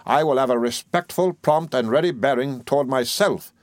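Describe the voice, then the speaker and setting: A male narrator plays a stern Victorian stepfather from a storybook. He speaks formally and gravely, with measured, commanding weight, in a dry, close sound. Every phrase is cold and domineering. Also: mid-range voice, no room sound, pitched above the performer's own voice, natural voice. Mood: fierce, commanding. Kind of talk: audiobook character in a Victorian novel.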